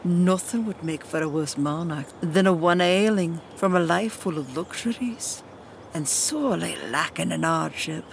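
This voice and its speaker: Woman doing a stately queen mum, formal and unhurried, stern but regretful, fantasy audio play, slight noise. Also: fairly low pitch, gravelly. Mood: stern, dignified. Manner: formal, measured, regal. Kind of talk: scripted fantasy audio drama acting.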